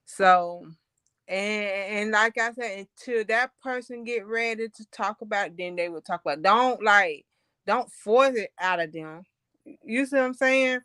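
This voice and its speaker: Female panel guest, Southern US accent, bright smooth voice, earnest and conversational, casual advice talk. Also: mid-range voice, a little slow, no room sound, clean studio sound.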